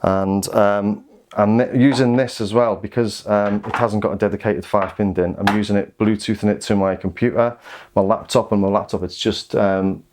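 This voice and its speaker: Low-pitched British man, chatty gear reviewer. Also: fairly even intonation, normal speed, a little mellow, slight background noise. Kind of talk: casual product overview.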